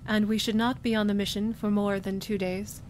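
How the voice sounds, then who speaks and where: Casting: actress as logical Vulcan female commander, precise and emotionless, sci-fi radio play, rumbly noise behind. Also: level tone, normal speed, a little mellow, lightly acted. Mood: cool, stern. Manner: formal, precise, unemotional diction. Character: composed, formal, logical Vulcan woman starship commander. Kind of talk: science fiction fan audio drama acting. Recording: clear background noise with low rumble.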